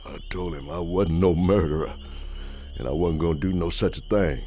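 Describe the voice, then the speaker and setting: An actor playing a haunted old former servant with a Southern American accent, telling a horror tale gravely in folksy speech for a radio drama. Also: male voice, sits low, moderate pace, lightly acted. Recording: music loud under the voice.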